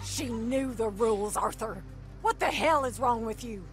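An actress playing a stern camp matriarch barking orders at the crew. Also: female voice, on the high side, a little slow, music in the background, lightly acted. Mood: commanding. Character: stern, bossy camp matriarch, middle-aged.

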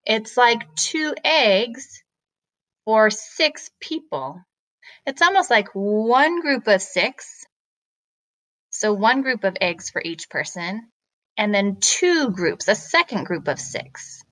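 A woman, a math teacher, explaining step by step to children in a deliberate, questioning way. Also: fairly high pitch, sing-song, a bit bright.

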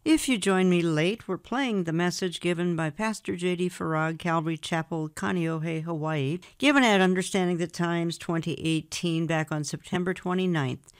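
A woman radio host reading a scripted announcement in a brisk, clearly enunciated way. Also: lowish voice, no room sound, studio quality.

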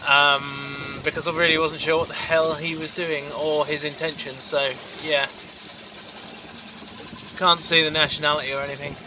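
A tired man in his thirties talks into a handheld camera on the open deck of a small sailboat. He speaks in a matter-of-fact, halting way, with a slightly high voice. Wind and water noise are clearly heard behind him. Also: level tone. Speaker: solo sailor vlogger. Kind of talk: casual video diary. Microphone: camera mic at arm's length. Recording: windy outdoor camera audio at sea.

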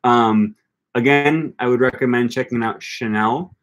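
An upbeat young man hosts a relaxed livestream and reacts to viewer comments as he goes. He talks loosely, with frequent ums and restarts. His voice sits close on a desk microphone in a small room. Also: medium pitch, unhurried. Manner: upbeat, thinks aloud with many ums and restarts. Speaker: language-learning channel host. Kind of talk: casual livestream chat reading comments. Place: small room with bookshelf, indoors. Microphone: desk microphone close in front.

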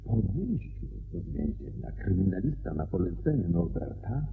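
A man acting in a radio play as a brilliant, assured detective, explaining methodically and deliberately with theatrical pauses. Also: sits low, big pitch swings, vocal fry, exaggerated voice. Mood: explanatory, measured. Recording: dull radio recording, faint noise and hum.

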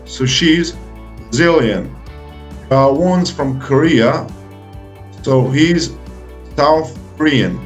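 The encouraging young man on an online beginners' English class, walking pupils through each exercise bit by bit, soft music under his slow voice. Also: on the high side. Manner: deliberate, short phrases with pauses, encouraging. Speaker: English teacher hosting a video lesson. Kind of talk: slow, step-by-step instructional talk for beginners.

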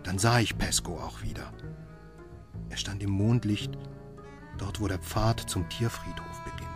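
A haunted doctor in a radio play, voiced by a male actor, caught in a nightmare. His voice sits on the low side. Loud music runs beneath him. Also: measured pace, close to an everyday voice. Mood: grave narrating. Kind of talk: radio drama acting and first-person narration.

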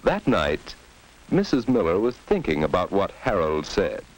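A man's voice: low-pitched, huge pitch swings, measured pace, poor audio. A man giving scripted narration in the style of a 1940s educational film.

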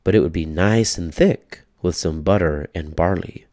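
A man's voice: deep voice, normal speed, a little mellow, no room sound, studio quality, natural voice. Man as a clever, coaxing hungry young man.

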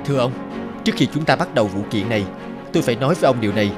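A man voicing a stern, principled lawyer in a cartoon, speaking in a formal, firm and measured way. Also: mid-range voice, expressive, natural voice. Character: stern, principled male lawyer refusing dishonest clients. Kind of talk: dubbed cartoon dialogue. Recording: music under the voice.

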